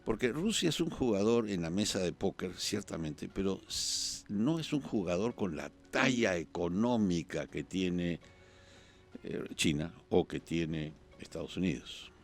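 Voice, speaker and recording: Un periodista peruano, invitado en un programa de debate político, que habla pausado y piensa en voz alta entre muletillas. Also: male voice, deep voice, lively intonation, vocal fry, faint music behind. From Peru. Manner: measured, thinking aloud with pauses and fillers. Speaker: journalist guest. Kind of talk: political talk-show discussion.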